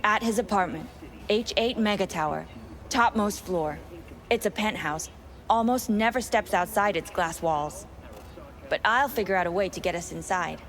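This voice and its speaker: A woman's voice: medium pitch, moderate pace, a bit bright, close to an everyday voice. An actress playing a jaded, scornful woman plotting a gang takeover in a video game, with a dismissive, sardonic manner. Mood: scornful, businesslike.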